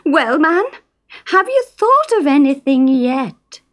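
A woman's voice: sits high, sing-song, slow, voice pushed up, heavily acted. A woman voicing an anxious mother who frets and nags in exasperation. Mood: fretful. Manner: fretful, exasperated.

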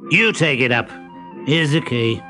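A man's voice: medium pitch, animated, unhurried, over music, close to an everyday voice. A man voicing a lazy, suspicious house servant who talks in a curt, grumbling manner.

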